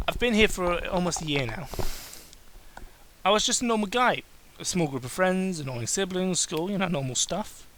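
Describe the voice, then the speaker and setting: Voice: medium pitch, animated, moderate pace, a bit bright, a little hiss or hum, rough recording. Someone giving a comedic dramatic reading of amateur fiction, mocking and exasperated, stumbling over the text and breaking off for sarcastic asides. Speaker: host reading fan fiction aloud.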